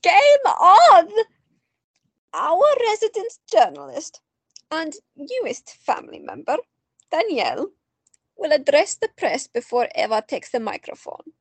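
A woman playing an eager, loving old woman in an audio drama, speaking slowly and expressively. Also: high voice, huge pitch swings, no echo, heavily acted. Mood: playful. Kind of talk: amateur audio drama acting.

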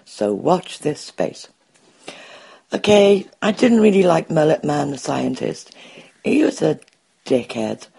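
A woman's voice: low voice, lively intonation, slow pace, vocal fry, pauses often, warm tone, breathy edge. A woman from the UK leaving a blunt, playful voicemail for a podcast. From United Kingdom. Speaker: voicemail caller. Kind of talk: recorded voicemail review.

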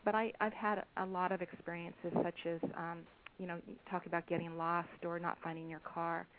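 A soft-spoken woman, an author, being interviewed over the phone, speaking hesitantly with many pauses and ums. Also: fairly low pitch, level tone. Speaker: author and guest. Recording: tinny phone line with faint hiss.

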